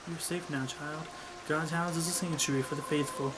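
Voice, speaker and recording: A man voicing a kindly, pious aging priest in a gentle, reassuring manner for a story reading. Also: a little high, fairly even intonation, normal speed, heavy background noise, natural voice. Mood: consoling.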